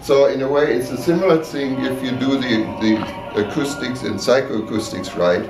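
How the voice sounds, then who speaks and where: A man giving a measured technical explanation in an interview, checking that he is understood. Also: medium pitch. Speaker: loudspeaker designer. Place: exhibit room at an audio show. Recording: echoey room, distant mic, music behind.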